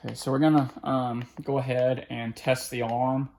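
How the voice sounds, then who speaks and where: A person giving a casual hands-on demonstration, halting and muttering to themself while working through each step. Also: mid-range voice, level tone, darkish tone, slight background noise. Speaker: video creator doing a product setup.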